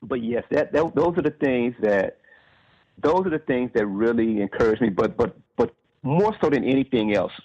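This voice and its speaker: A man's voice: mid-range voice, expressive, moderate pace, a little mellow, breathy edge, dull audio. A man being interviewed as an author, telling stories conversationally and often repeating words as he thinks.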